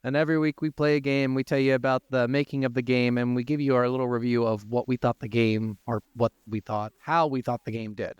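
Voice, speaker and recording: A man's voice: mid-range voice, little pitch movement, fairly quick, few pauses, clear, resonant tone, no room sound, dull audio. A man co-hosting a podcast in casual banter, talking in a joking, sweary way. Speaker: podcast co-host. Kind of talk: casual banter between co-hosts.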